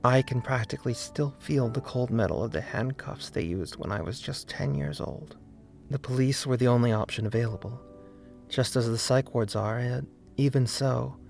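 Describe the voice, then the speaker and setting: Slightly creaky man narrating a video essay. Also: mid-range voice, darkish tone. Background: faint background music.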